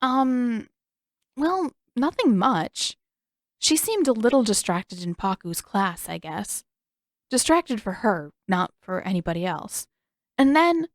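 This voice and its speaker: A woman's voice: fairly high pitch, sing-song, slow, dry recording, studio quality, full character voice. A woman voicing a mild, hesitant, skeptical young boy in an audiobook, with a light, tentative delivery. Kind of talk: acted audiobook dialogue.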